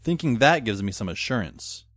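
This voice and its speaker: A man's voice: medium pitch, very animated, normal speed, fairly bright tone, dry recording. A man playing a wry, brooding teenage schoolboy who narrates his own story in an audio drama with dry, sardonic asides. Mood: startled, reasoning. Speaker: lead actor and narrator. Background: faint background music.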